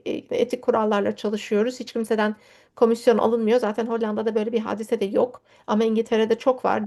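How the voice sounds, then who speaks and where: A woman's voice: a little high, a little mellow. A woman, a native Turkish speaker, speaking as an education consultant and host introducing an online presentation on a video call. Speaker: education consultant hosting a webinar.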